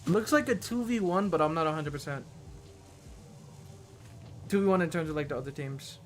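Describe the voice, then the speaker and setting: Higher-pitched man grumbling through a game stream. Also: moderate pace, a little hiss or hum. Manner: blunt, slangy, grumbling. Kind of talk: live gaming commentary with casual cursing.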